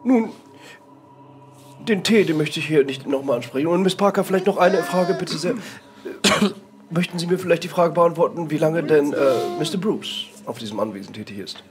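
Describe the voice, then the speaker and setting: A man's voice: fairly high pitch, normal speed, music in the background, close to an everyday voice. A man voicing a dutiful, obsequious manor butler with a formal, deferential and hesitant way of talking.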